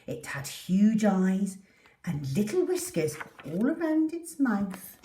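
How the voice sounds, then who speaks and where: A woman's voice: medium pitch, very animated, slow, dark tone, slight background noise. A woman reading a children's story aloud with theatrical, dramatic delivery. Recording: echoey room, distant from the mic.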